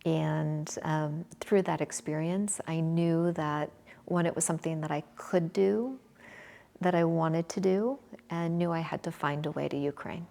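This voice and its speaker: An American woman in her forties from Michigan, answering interview questions in a measured, thoughtful way with frequent pauses. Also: low voice, steady tone, vocal fry, warm tone. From Michigan, USA. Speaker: interview guest, volunteer. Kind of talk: conversational interview.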